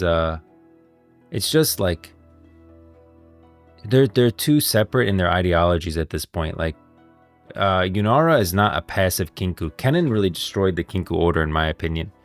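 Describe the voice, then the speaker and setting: Low-voiced man streaming casually. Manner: informal, musing, talks to chat. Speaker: streamer host. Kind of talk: casual livestream commentary on game lore.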